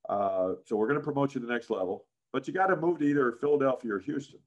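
A man in his sixties with a deep voice, a guest in a casual interview, telling career stories with many "frankly"s and "uh"s. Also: fast. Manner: frequent "frankly" and "uh", animated anecdotes. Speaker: interview guest, business founder.

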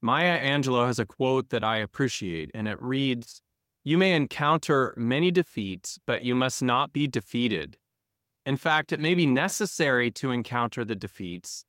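Measured man hosting an interview. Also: medium pitch, a bit bright, no room sound, clean studio sound. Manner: measured, reads prepared intro. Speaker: podcast host. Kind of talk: scripted introduction and interview questions.

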